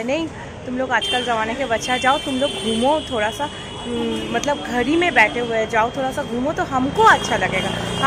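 A woman in her thirties chatting to her phone camera while walking a busy city street at night, tired from all the walking. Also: a little high. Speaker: vlogger. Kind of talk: casual walking vlog. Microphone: phone held at arm's length. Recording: loud street noise and crowd. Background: traffic and crowd noise.

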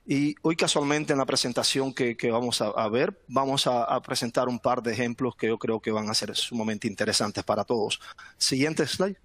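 A middle-aged man from Cuba giving a webinar presentation over a headset from home, relaxed, often repeating words and adding "¿no?". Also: medium pitch, normal speed, no room sound. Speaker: guest speaker, sales manager. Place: home room indoors. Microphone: headset on video call.

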